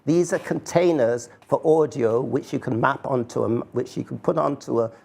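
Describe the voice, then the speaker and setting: An older man giving an informal, unscripted talk as an artist, halting and rambling, with a self-deprecating manner. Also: mid-range voice, creaky, a little mellow, slightly rough.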